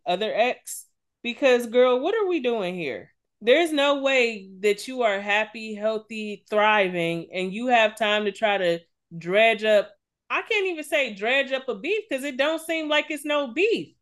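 A woman co-hosting a casual gossip podcast, blunt and emphatic, with plenty of swearing. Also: medium pitch. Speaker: podcast co-host.

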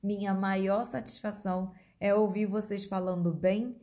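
A woman with a Brazilian Portuguese accent, narrating a scripted educational podcast as a language teacher, phrasing deliberately for learners. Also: mid-range voice, fairly even intonation, warm tone.

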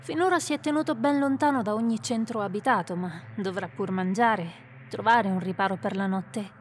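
A woman voicing a calm female companion and healer who leads the group, in an Italian radio drama, speaking in a composed, authoritative way. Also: medium pitch, normal speed, slight background noise, lightly acted.

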